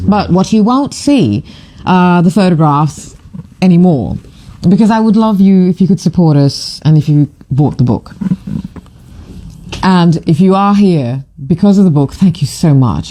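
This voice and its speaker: A middle-aged photographer from Fiji gives a rambling, gushing live commentary to camera. The voice has a warm, relaxed timbre at a middling pitch. The talk drifts between asides, fillers and restarts. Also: unhurried. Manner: gushing, enthusiastic. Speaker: photographer and author presenting a book.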